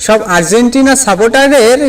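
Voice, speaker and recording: Very high-pitched, blustering young cartoon man. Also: a little fast, far from the mic. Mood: scornful. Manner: blustering, melodramatic. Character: indignant young man, fanatical Brazil football fan. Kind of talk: comic cartoon dub.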